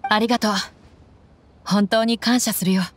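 A woman's voice: fairly high pitch, fairly even intonation, moderate pace, a little hiss or hum, natural voice. A woman as a blunt, tomboyish young mercenary. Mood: grateful. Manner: blunt, tomboyish casual speech. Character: tough, blunt, warm-hearted young female desert mercenary. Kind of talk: anime-style game dialogue.

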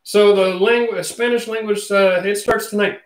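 A man's voice: high-pitched, fairly even intonation, moderate pace, roomy sound, low-quality recording. A man in his forties hosting a casual livestream, chatting with viewers and reading their comments aloud. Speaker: channel host. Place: home studio room. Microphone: studio mic on boom arm.

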